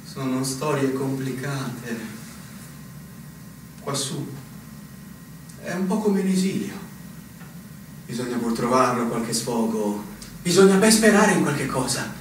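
An actor playing a resigned, wistful older army captain in a radio drama, with theatrical swells. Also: male voice, medium pitch, lively intonation, takes it slowly, long pauses, very echoey, recorded from a distance, slight background noise, poor audio.

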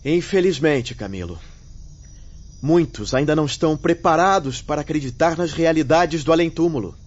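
A man playing a kind, steadfast spirit medium in a radio soap, speaking in an earnest, gentle, formal way. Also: on the high side, animated, normal speed. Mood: consoling, steadfast. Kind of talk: dramatic radio soap acting. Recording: muffled radio drama sound.

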